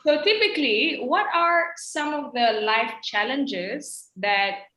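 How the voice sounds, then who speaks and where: A woman with a high, bright voice talks in a relaxed, playful way as a podcast guest. The sound carries a clear room echo around her. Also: slow pace. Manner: lively, playful, reads foreign sayings aloud. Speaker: guest speaker on emotional literacy. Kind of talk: casual podcast conversation.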